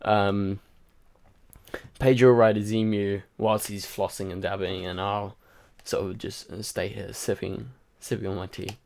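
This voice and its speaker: A man's voice: fairly low pitch, level tone, a little slow, vocal fry, a little mellow. Tired, rambling young man livestreaming. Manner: rambling, chatty, many fillers. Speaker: livestream host. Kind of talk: casual livestream chat reading comments. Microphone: home microphone.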